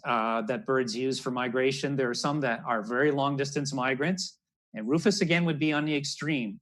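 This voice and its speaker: A man's voice: medium pitch, fairly bright tone. Man explaining nature to children. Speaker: naturalist, storyteller. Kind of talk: educational talk for children. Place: room at home, indoors. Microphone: wired earbuds on a video call.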